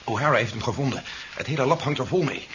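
An actor playing a bold, impatient commander in a 1970s radio drama, decisive and given to forceful orders. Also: male voice, mid-range voice, lively intonation, fast, close to an everyday voice. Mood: earnest, planning. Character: bold, commanding rebel general, impatient and determined. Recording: muffled, noisy 1970s radio recording.

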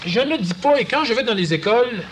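A man with a Quebec French accent giving a lively, conversational talk to an audience and acting out quoted voices. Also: very high-pitched, brisk, a little hiss or hum. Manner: animated, acts out quoted voices. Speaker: lecturer.

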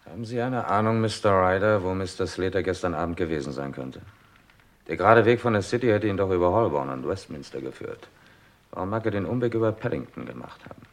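An actor playing a curt, authoritative chief inspector with dry courtesy, in a vintage German radio crime play. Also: male voice, low voice, animated, fast talker. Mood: stern questioning. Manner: curt, commanding, dryly courteous. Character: brisk, authoritative male police chief inspector. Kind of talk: 1950s-80s German radio crime drama acting.

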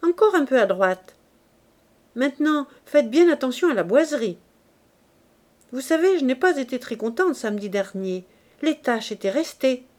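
A woman's voice: high-pitched, sing-song, moderate pace, pauses often, darkish tone, voice pushed up. A woman doing a haughty, spiteful shopkeeper. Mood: bossy. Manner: haughty, commanding, cutting.

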